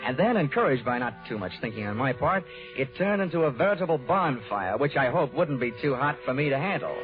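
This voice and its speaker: An actor playing a cynical, wisecracking insurance investigator in a 1940s radio detective drama, wry and dry. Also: male voice, mid-range voice, animated, fairly quick, lightly acted. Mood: wry, narrating. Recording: tinny, narrow-band 1940s radio recording, music.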